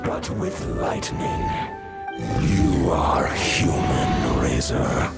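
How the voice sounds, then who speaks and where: An actor voicing an ancient, stern wolf spirit in a video game, speaking in grave, formal proclamations. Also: male voice, very deep voice, drawn-out words, vocal fry, over loud music, heavily acted. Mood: solemn, commanding.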